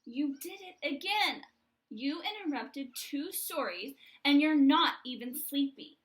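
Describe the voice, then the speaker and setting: A woman voicing a patient, weary father chicken who gently chides at bedtime in a picture-book read-aloud. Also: sits high, lively intonation, slow, rough recording. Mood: exasperated. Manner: gently chiding.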